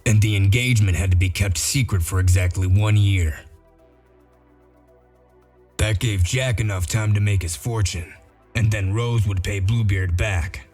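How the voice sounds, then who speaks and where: Male actor as gruff detective, low-pitched, dry wit, matter-of-fact delivery, comic dub drama. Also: a little mellow. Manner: matter-of-fact, wry explaining. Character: Bigby Wolf, gruff dry-witted male detective sheriff. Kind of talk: amateur comic dub acting.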